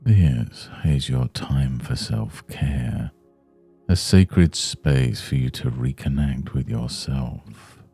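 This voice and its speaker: A man leading a guided meditation in a soothing, measured, hushed manner. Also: rumbling low, slightly rough, soft background music. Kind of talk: guided Yoga Nidra meditation.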